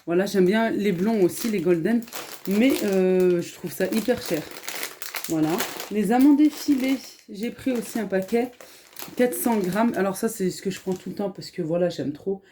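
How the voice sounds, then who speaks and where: A woman from France with a slight accent from speaking Arabic at home, chatting softly and earnestly in a hushed voice at home, with many fillers. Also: medium pitch, slight background noise. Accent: French with a slight Arabic-influenced lilt. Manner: speaks softly, hushed so as not to wake children. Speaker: family vlogger. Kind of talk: casual haul vlog. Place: home kitchen, indoors.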